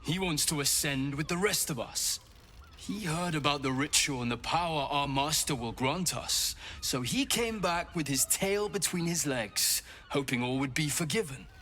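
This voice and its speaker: An actor playing a bitter, arrogant, hungry vampire spawn in a video game, speaking with biting scorn. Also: male voice, a little high, expressive, moderate pace, bright, forceful tone, a little hiss or hum. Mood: scornful. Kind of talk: dramatic video game voice acting.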